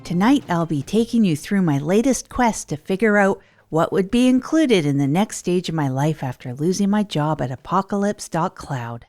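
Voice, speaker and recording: A woman playing an earnest, hapless radio host in a comedy audio drama, rambling in a deliberate, self-deprecating way. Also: on the low side, lively intonation, darkish tone, soft background music, natural voice. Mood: earnest, wry. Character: Tog Chesterfield, earnest, hapless radio host rebuilding their life. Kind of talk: improvised comedy audio drama monologue, late-night radio parody.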